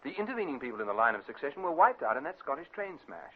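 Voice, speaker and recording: An actor playing a shrewd, unruffled private detective in a British radio drama, with a clipped, authoritative delivery. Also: male voice, mid-range voice, very animated, very fast, narrow, tinny audio. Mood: explanatory. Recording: band-limited vintage radio recording.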